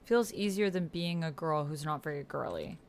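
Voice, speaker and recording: Woman host, lowish voice, casual chat and musing to herself, keyboard clatter and noise behind. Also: moderate pace. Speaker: host of an online text roleplay playthrough. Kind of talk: casual chat mixed with reading typed chat aloud. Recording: keyboard typing, clear background noise. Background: computer keyboard typing.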